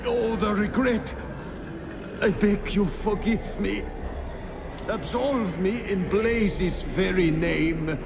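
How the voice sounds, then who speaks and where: A dead squire's tormented ghost in a game drama, full of remorse and pleading. The voice is heavily creaky and slow, set a little high for a male, with clear noise behind it. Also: exaggerated voice. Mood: desperate pleading. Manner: mournful, pleading, halting. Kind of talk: dramatic video game acting.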